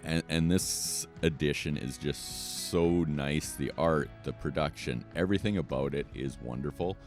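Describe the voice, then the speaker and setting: A man's voice: very deep voice, unhurried, faint music behind. A middle-aged man chatting casually as an interview guest about a hobby. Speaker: guest, board game radio show host.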